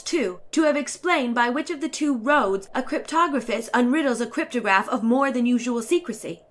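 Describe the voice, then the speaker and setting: Woman reading as a snooty chatty lady traveler from a storybook, high voice, very theatrical and wordy. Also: a bit bright. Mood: lecturing. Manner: theatrical, emphatic, ornate and declamatory. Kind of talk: dramatic reading of a short story.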